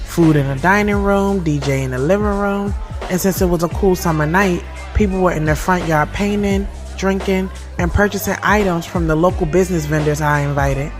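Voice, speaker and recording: A woman's voice: on the low side, moderate pace, soft background music, lightly acted. A woman playing a heartfelt, lovelorn thirty-year-old who narrates her own story in a conversational, confiding way for an audio drama. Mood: reflective, proud. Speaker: lead actress and narrator.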